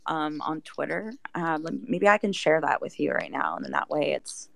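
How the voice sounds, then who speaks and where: A woman's voice: low voice, big pitch swings, normal speed, vocal fry, no echo. A woman speaking as an interview guest, explaining things in a casual, chatty way. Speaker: interview guest, project team member.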